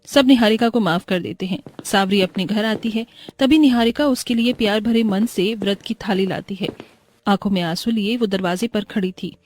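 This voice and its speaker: A woman's voice: mid-range voice, normal speed, a little hiss or hum, rough recording. A woman narrating a moral bedtime story as a scripted voice-over.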